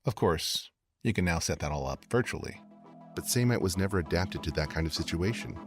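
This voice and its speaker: Brooding male investigator, low-pitched, measured and confiding horror-podcast narration, clear music underneath. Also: lively intonation. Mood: measured, intrigued. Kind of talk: scripted first-person horror podcast narration.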